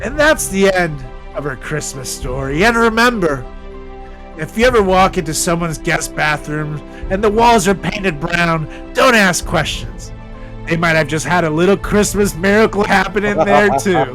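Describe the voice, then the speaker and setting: A man podcast host narrating a comedic story with dramatic pauses, over background music. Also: high-pitched, a bit bright, low-quality recording. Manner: dramatic pauses, drawn-out delivery.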